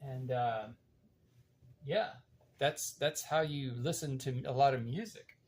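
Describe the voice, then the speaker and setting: An older man giving a casual, unhurried hands-on demonstration, halting now and then as he thinks aloud while working. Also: mid-range voice, steady tone, darkish tone. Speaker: demonstrator of reel-to-reel tape machines.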